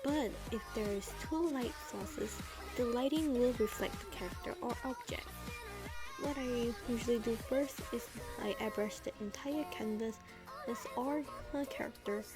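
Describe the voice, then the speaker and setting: A woman's voice: a little high, moderate pace. A teenage girl narrating a drawing tutorial in a casual, rambling way, with hesitant restarts and chatty side stories. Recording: voice-over with clear background music. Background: background music.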